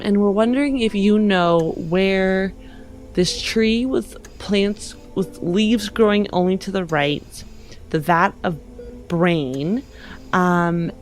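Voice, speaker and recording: A woman improvising in character and narrating as a player in a tabletop game, earnest and halting. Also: medium pitch, darkish tone, soft background music.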